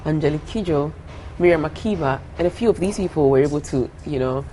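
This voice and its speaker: A young woman from Ghana speaks in a notably low voice, earnest and quick to laugh. She often repeats her phrases as she talks through her answers. A faint hiss sits under the recording. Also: dark tone. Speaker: singer guest. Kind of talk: casual interview talk.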